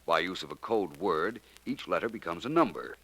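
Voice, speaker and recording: An actor playing a suave, calmly superior gentleman detective in a 1940s radio drama, smooth and unhurried. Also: low-pitched, full character voice. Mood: explaining. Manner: smooth, unhurried, lightly condescending.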